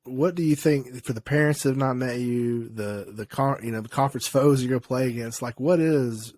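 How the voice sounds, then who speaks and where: Dry-sounding co-host. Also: male voice, mid-range voice, darkish tone, no room sound. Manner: halting, restarts questions. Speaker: podcast co-host. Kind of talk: casual interview chat.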